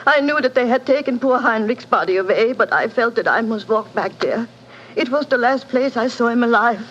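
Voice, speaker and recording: Woman acting a bitter widow, grief-stricken, intense, raised pitch, muffled old radio drama. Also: a little fast, fairly bright tone, natural voice. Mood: grieving, bitter. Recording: noisy muffled vintage radio broadcast.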